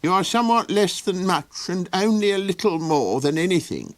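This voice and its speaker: A man voicing an odd, forgetful little old man with a high, wondering, sing-song delivery. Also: animated, normal speed. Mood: whimsical.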